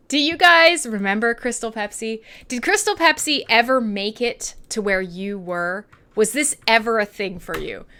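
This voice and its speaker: A woman's voice: a little high, smooth. A woman in her thirties with a Canadian accent, hosting a radio show in irreverent, sweary, sarcastic casual chat with listeners. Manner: sweary, sarcastic, animated, laughs often.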